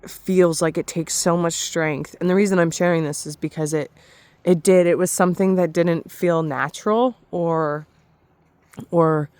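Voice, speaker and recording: A woman talking slowly and softly in a reflective spiritual vlog, pausing and restarting often, with a slightly raspy voice, alone in a forest. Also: deep voice, no room sound. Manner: soft, slow, hesitant, with many restarts. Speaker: spiritual vlogger.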